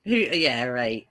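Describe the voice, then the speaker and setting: Bright, playful woman laughing a lot. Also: a bass voice. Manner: laughs often, playful teasing. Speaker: co-host of live chat stream. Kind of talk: casual live-stream banter.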